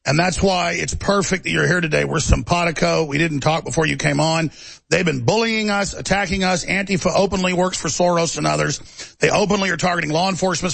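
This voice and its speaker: A man co-hosting a political talk show, speaking in a rapid, insistent and conspiratorial way. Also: on the high side, vocal fry, fairly bright tone, no room sound.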